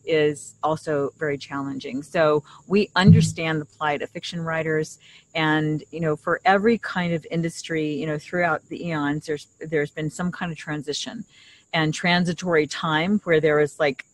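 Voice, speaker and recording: A middle-aged woman co-hosting a casual podcast, explaining things haltingly and rephrasing as she goes, speaking outdoors into a shared desktop microphone. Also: low voice, fairly even intonation, rough recording. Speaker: podcast co-host. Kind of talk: casual conversational podcast talk. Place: outdoors in a leafy garden. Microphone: shared desktop condenser microphone.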